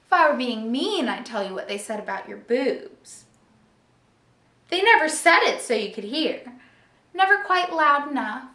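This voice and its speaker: A woman's voice: sits high, very animated, a little slow, pushed and bright, far from the mic, full character voice. A young woman playing a wounded, jealous teenage sister in an intense, confiding stage monologue. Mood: bitter, taunting. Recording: echoey room.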